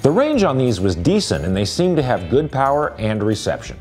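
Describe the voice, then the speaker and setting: A man's voice: mid-range voice, wildly swooping pitch, brisk, a little mellow. Man narrating product review over music.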